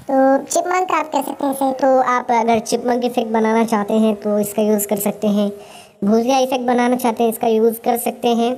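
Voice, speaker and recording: A man voicing a small chipmunk, his voice digitally pitch-shifted upward. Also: a little fast, darkish tone. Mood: explanatory, playful. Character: small chipmunk, funny cartoon talking-animal voice.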